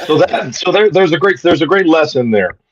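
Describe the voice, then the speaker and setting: A man's voice: on the high side, animated, a little fast, highs cut off. A man who is an actor, from Texas, telling upbeat anecdotes as a guest in a casual interview.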